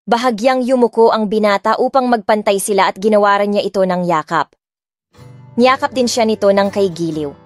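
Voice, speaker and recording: A woman's voice: mid-range voice, moderate pace, dry recording, studio quality. A woman with a Filipino accent reading dramatic first-person scripted narration as an audiobook narrator. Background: soft music.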